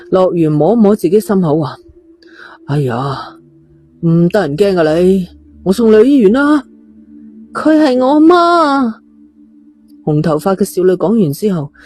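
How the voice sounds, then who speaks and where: A woman's voice: on the low side, dark tone. A woman, narrating a scripted thriller story. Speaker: audiobook narrator.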